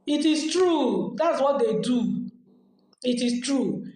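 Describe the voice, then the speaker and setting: A woman's voice: high voice, expressive, slow pace, a little mellow, slight background noise. A woman with a Nigerian accent hosting a podcast, giving casual advice in a chatty way and repeating phrases as she explains. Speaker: podcast host. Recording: very echoey room.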